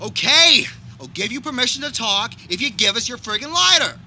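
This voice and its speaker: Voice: mid-range voice, lively intonation, a little fast, pushed and bright. A performer playing a bossy, exasperated, impatient teenage boy in a live outdoor comedy radio play. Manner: commanding, exclamatory, impatient. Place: backyard, before a live audience. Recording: live outdoor recording, faint background noise.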